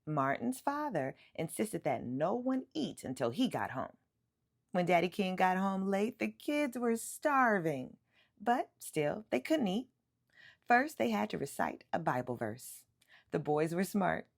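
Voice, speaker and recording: Female storyteller for a children's picture book, lively and emphatic, with some vocal fry. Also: on the low side. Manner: animated, emphatic storytelling with drawn-out words. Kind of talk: expressive read-aloud of a children's picture book.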